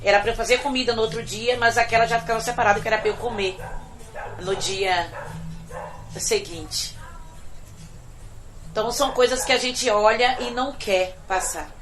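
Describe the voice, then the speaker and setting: A middle-aged woman from Bahia, a domestic worker, giving an earnest, reflective personal testimony that often trails off quietly. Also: fairly high pitch, moderate pace, pauses often, rough recording. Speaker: domestic worker telling her story.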